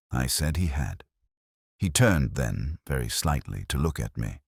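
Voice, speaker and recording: A man playing a weary, reflective older detective, narrating a crime drama in measured, solemn, literary phrases. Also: low voice, darkish tone, no room sound, clean studio sound, full character voice. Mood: somber, reflective. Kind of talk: scripted first-person detective story narration.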